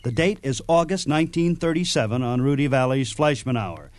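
A man's voice: medium pitch, animated, fairly quick, dry recording. An older man hosting a radio program, introducing recordings in a casual, chatty style. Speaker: old-time radio show host.

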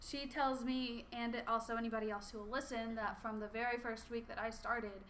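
A woman who works as a babysitter, talking loud and laughing as she shares a story with a group. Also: fairly high pitch, steady tone, normal speed, a little hiss or hum. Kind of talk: casual group sharing. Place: room with a group.

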